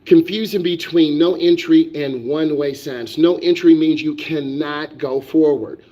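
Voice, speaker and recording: A man's voice: a little high, unhurried, mellow. A man who is a driving instructor, lecturing on a live stream with emphatic, repetitive, preachy delivery. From United States.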